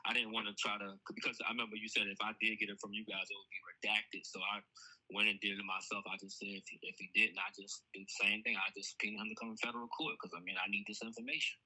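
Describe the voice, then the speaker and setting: A man's voice: on the low side, flat delivery, fast talker, sharp tone, rough recording. A man speaking informally and insistently as a caller in a casual recorded phone conversation, often repeating himself. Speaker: caller reporting a case to police.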